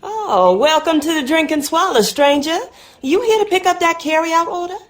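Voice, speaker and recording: Animated Southern barmaid. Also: female voice, very high voice, normal speed, a little hiss or hum. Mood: welcoming, casual. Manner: casual, familiar, colloquial drawl. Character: folksy small-town Southern tavern barmaid. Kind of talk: video game dialogue.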